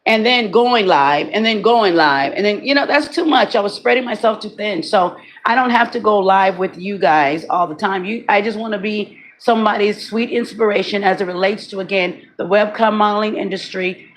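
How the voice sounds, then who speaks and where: A woman in her forties, slightly raspy today, giving a candid, chatty motivational talk to her viewers and often repeating herself. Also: medium pitch, moderate pace, hardly any pauses, a little hiss or hum. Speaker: webcam model vlogger. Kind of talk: casual motivational monologue.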